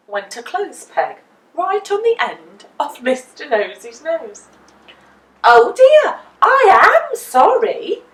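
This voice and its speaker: A woman reads a children's storybook with dramatic emphasis and swooping intonation. Her delivery is slow and careful, and she is heard in an echoey room. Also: medium pitch. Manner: animated, deliberate, dramatic emphasis. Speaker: storyteller reading a children's book. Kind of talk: expressive storytime narration for children. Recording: roomy echo, distant microphone.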